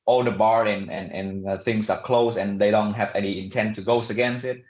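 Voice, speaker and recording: A young man speaks in a fairly low voice with a Vietnamese accent, recorded in an echoey room. He answers in a casual, conversational way, pausing and hedging as he searches for words. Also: steady tone, a little mellow. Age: young adult. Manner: halting, conversational, many fillers and hedges. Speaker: interview guest, international student.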